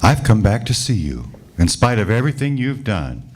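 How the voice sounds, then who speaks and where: A man as an accusing ghost husband, deep and cold. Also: very animated, moderate pace, a little mellow. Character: vengeful ghost of a murdered invalid husband. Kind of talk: old-time radio drama reading.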